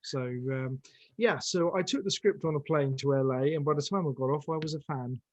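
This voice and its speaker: A man's voice: fairly high pitch, moderate pace, dry recording, highs cut off. A man telling a casual, conversational anecdote as an interview guest. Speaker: interview guest, audio drama team member.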